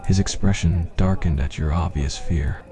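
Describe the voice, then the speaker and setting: Deep-voiced man narrating an ASMR story. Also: moderate pace, warm tone.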